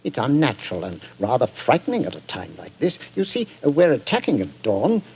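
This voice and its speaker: An actor playing a hearty, slightly fussy British army general with a husky voice and hesitant speech, in a 1940s radio drama. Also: male voice, medium pitch, huge pitch swings, a little fast, darkish tone, faint music behind. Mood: uneasy, grave. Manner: hesitant, peppered with ers, jovial. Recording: dull, lo-fi 1940s radio recording.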